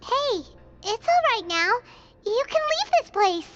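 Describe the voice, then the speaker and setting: A woman voicing a small, kind fairy-like game companion with a squeaky, childlike, earnest delivery. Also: big pitch swings, slow pace, highs cut off, over music, heavily acted. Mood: earnest, reassuring. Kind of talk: video game voice acting.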